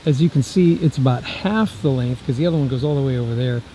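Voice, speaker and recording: A man's voice: mid-range voice, fast, mellow, poor audio. A man casually demonstrating gear outdoors, thinking aloud in a folksy, rambling way, with some wind around him. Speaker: radio reviewer and video host. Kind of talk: casual hands-on gadget demo. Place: outdoors, windy.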